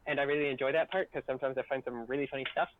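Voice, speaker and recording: A man's voice: mid-range voice, fairly even intonation, racing, phone-quality sound, a little hiss or hum. A man chatting casually and jokily as a co-host on a group livestream. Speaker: audio editor and co-host on a stream.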